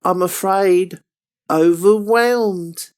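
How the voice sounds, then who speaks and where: A man voicing a smug, theatrically menacing trickster demon prince for a fantasy video game, with a sly, drawn-out delivery. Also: high voice, a little mellow, clean tone. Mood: sly menace.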